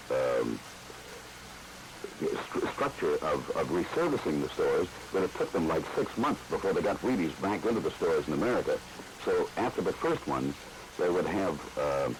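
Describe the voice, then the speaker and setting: A man with an extremely deep voice reminisces in a halting way. He stumbles, repeats words and fills pauses with many fillers. A faint noise sits under the recording. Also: poor audio. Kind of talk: reminiscing interview.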